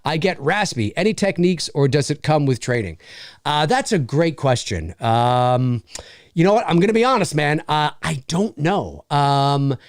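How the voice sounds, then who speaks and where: An energetic man in his forties hosts a live-stream Q&A alone. He talks in a slightly high voice, rambling and restarting his sentences with plenty of fillers. The sound is dry and close to the mic. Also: moderate pace, dry recording. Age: 40s. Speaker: host.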